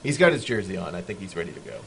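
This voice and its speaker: A man's voice: sits low, sing-song, fairly bright tone, rough recording. A man hosting a casual online show, joking with his guest and asking him questions. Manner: joking, quick to laugh. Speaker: show host. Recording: clear background noise.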